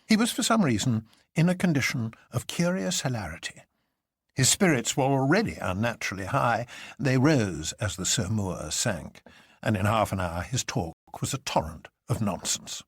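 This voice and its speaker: A male storyteller reads literary prose expressively. His pitch ranges widely, the voice is slightly rough-edged and husky, and the sound is dry and close. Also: expressive, moderate pace, no echo. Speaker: narrator.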